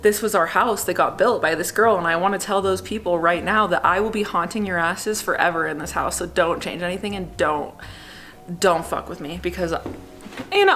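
A woman's voice: medium pitch, fairly bright tone. A young woman in her twenties giving a casual, chatty walkthrough of her half-built house, cheeky and profane. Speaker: vlogger giving a house tour. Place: unfinished house under construction, indoors. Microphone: small clip-on mic. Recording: echoey framed-out rooms with music behind.

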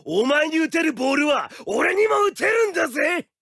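An actor playing a brash, boastful young beast-masked swordsman in an anime-style game, cocky and rough-voiced. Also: very high voice, very animated, normal speed, heavily acted. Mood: boastful, battle-hungry. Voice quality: gravelly, rough. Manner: cocky, bellowing. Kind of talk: anime-style mobile game character lines. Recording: game voice clips over loud music.

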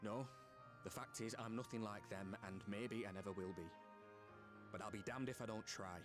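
An actor playing an earnest, determined soldier in a game, blunt and plain-spoken with a British accent. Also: male voice, lowish voice, fairly even intonation, moderate pace, music in the background, close to an everyday voice. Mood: earnest resolve. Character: earnest, determined male armoured soldier with self-doubt. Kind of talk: game cutscene voice acting.

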